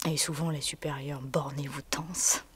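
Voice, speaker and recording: An actress delivering a theatrical monologue in a hushed voice that often drops to a whisper, pausing as she tells a story. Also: low voice, flat delivery, breathy edge.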